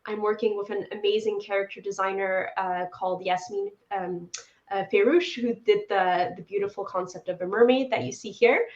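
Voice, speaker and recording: A woman's voice: mid-range voice, steady tone, moderate pace, darkish tone. Enthusiastic woman in her thirties, echoey call. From Poland. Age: 30s. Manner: enthusiastic, upbeat. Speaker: animator guest. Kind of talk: casual interview chat. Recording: echoey room, dull lo-fi sound.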